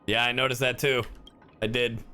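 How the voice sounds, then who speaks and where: A man casually reacting to a game as a streamer, in an excitable, blunt, sweary way. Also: medium pitch, normal speed. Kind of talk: casual live reaction commentary. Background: game music.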